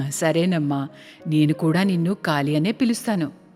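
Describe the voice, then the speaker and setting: A woman's voice: low voice, measured pace. A woman playing a kind widowed vegetable seller and adoptive mother in an emotive, exclamatory moral story cartoon. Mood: affectionate. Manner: emotive, exclamatory, gentle with her child. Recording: long reverb, music underneath.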